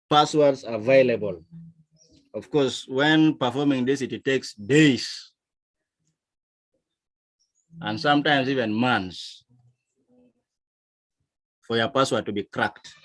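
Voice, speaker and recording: A man from South Sudan with a South Sudanese accent, teaching a lesson in a deliberate, emphatic manner. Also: medium pitch. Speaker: instructor. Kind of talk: digital literacy lesson, explaining to learners.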